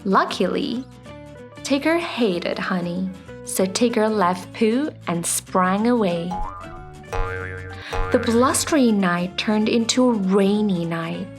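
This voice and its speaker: A woman's voice: mid-range voice, expressive, slow. A woman narrating a scripted children's bedtime story as the storyteller. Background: soft music.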